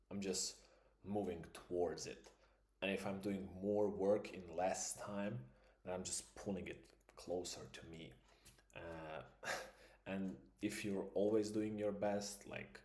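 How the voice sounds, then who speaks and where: A man's voice: low voice, darkish tone, roomy sound, slight background noise. A man, an English speaker, musing in a casual vlog and thinking aloud with plenty of fillers. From Croatia. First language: Croatian. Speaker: vlogger. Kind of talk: casual reflective monologue.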